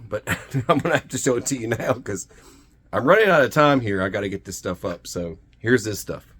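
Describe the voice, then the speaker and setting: A man hosting a gear video, talking to viewers in an informal, rambling, folksy way with a rough, husky voice. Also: lowish voice. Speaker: survival gear video host. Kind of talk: casual product showcase talk to viewers. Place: indoors in a house.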